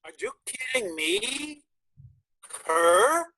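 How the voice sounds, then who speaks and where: A man's voice: very high voice, big pitch swings, extremely slow, vocal fry, bright, forceful tone, no room sound, exaggerated voice. A man acting a sarcastic, teasing country band musician with a mocking manner. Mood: incredulous, mocking.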